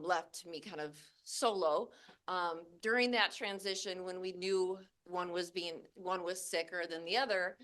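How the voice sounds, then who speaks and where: A woman presenting to a live audience in a loud, chatty, laughing way, speaking into a handheld microphone. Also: mid-range voice. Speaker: cannabis breeder and presenter. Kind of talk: casual talk to a live audience. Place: event venue with live audience.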